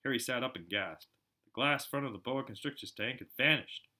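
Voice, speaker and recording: A man reading a storybook aloud as an amateur narrator, in an expressive style. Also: mid-range voice, a little fast. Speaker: amateur audiobook reader.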